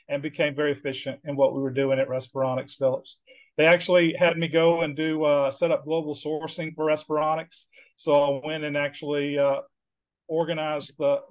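A middle-aged man from Georgia with a Southern accent, talking casually as an interview guest over a video call, with many fillers. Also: a little high. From Georgia, USA. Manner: friendly, rambling with many fillers. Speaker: guest, business consultant. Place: home office with bookshelves. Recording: dull lo-fi video call audio.

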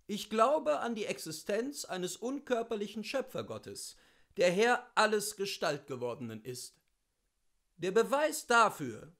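A man reading classic literature aloud as a host, with deliberate, theatrical phrasing and weighty pauses. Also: sits high. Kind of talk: expressive literary reading, scripted narration.

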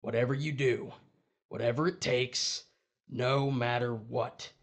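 A man's voice: medium pitch, fairly even intonation, unhurried, natural voice. A man playing the desperate, bullying ghost of a dead husband in a horror audio drama, urgent and impatient. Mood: pleading, pained.

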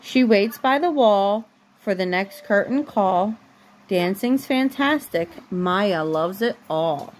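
A mother reads a picture book aloud to her young child. The woman takes her time in a measured storybook delivery. It is a homemade bedroom recording on a phone, with some light noise behind her. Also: medium pitch, expressive. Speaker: mother reading a bedtime story. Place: bedroom at home. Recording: noisy home phone recording.

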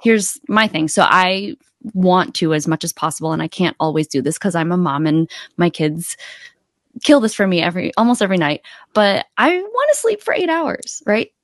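A woman's voice: lowish voice, animated, moderate pace, dry recording. A woman in her forties with an American accent, giving casual conversational advice as a podcast guest on a close microphone. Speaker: podcast guest, accountability coach. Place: home room indoors, video call.

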